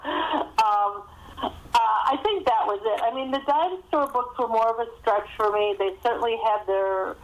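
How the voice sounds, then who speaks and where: A woman's voice: on the high side, unhurried. A woman speaking as a guest author, giving conversational interview answers over a thin phone connection. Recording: thin phone-line sound.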